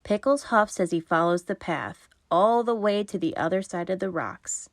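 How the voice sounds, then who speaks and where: A woman narrator reading children's storybooks aloud in an expressive, scripted style. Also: lowish voice, moderate pace, no echo.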